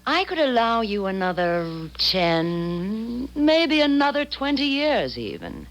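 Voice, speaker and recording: A woman's voice: a little high, sing-song, slow, exaggerated voice. An actress in a 1970s radio drama playing a sly, seductive she-devil who speaks in precise, formal, coy phrases.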